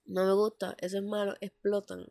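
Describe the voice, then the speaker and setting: A woman's voice: medium pitch, little pitch movement, brisk, dark tone. A woman co-hosting a podcast while stuffed up with a cold, insistent and stubborn in casual chat. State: congested with a cold. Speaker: podcast co-host.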